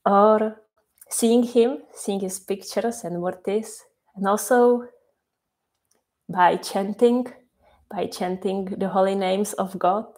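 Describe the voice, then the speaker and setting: A woman whose first language is Czech, guiding a meditation slowly and softly, repeating her phrases with long pauses. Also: mid-range voice, fairly even intonation. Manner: slow, soft and repetitive, with many pauses. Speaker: meditation guide. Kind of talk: guided meditation.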